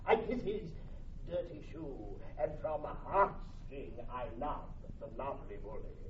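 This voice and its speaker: Pistol, blustering soldier with wild swoops. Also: male voice, a little high, expressive, measured pace. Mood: boastful, exuberant. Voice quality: blustering, declamatory. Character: Pistol, swaggering, bombastic braggart soldier. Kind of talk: classical Shakespearean radio acting. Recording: muffled, hissy, echoey, distant from mic.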